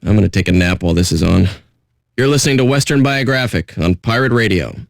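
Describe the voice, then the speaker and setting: Jaded, rude pirate radio DJ. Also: male voice, on the low side, expressive, quick pace, vocal fry, no room sound, clean studio sound. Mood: dismissive. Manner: sardonic, blunt, insulting, profane. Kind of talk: irreverent radio DJ patter.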